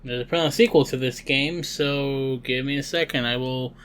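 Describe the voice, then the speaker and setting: A man's voice: medium pitch, steady tone, fairly quick, fairly bright tone, clean tone. Man giving casual game playthrough commentary. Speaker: gaming video host.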